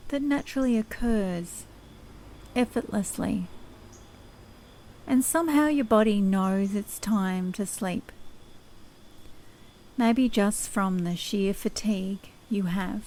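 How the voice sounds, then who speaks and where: A woman leading guided sleep hypnosis in a soothing, unhurried, measured manner. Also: medium pitch, darkish tone.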